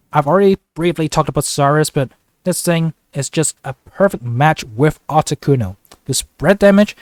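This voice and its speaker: A man's voice: on the high side, no room sound. A man with a flu, casually commentating a card game video in an upbeat, chatty, joking way. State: congested from a flu. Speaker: gaming YouTuber.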